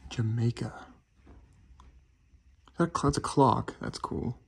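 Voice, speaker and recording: A man's voice: medium pitch, steady tone, a little slow, vocal fry, darkish tone, husky. A man exploring a house as a vlogger, thinking aloud in short, casual, murmured reactions on a noisy handheld recording. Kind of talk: casual off-the-cuff exploration commentary. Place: indoors, inside rooms of a house.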